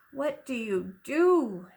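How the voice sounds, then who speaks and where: A woman teacher reading a picture book aloud to young children in an animated, instructive way, asking questions as she goes. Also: high voice, creaky. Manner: instructive, questioning, slow deliberate phrasing.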